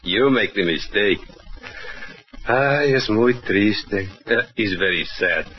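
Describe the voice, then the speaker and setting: A knife-wielding Mexican killer from an old radio drama, matter-of-fact and amused, with a Mexican Spanish accent. His voice is deep, and the sound is muffled and slightly hissy, like a vintage broadcast. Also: expressive, takes it slowly. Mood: calmly menacing. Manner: broken English, chuckling, matter-of-fact menace. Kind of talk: 1940s radio acting. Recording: dull old radio broadcast with faint hiss.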